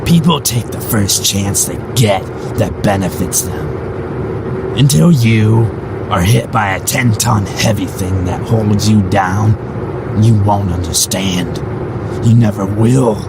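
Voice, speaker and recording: A man's voice: lowish voice, slow pace, heavy background noise. A man voicing a bitter, ominous faceless man in an audio drama, speaking in preachy philosophical monologues. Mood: grim, preachy.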